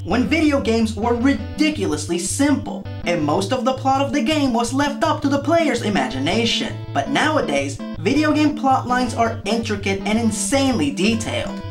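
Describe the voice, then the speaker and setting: A man hosting a comedic scripted rant as a video game movie reviewer, exasperated, emphatic and sarcastic. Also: extremely high pitch.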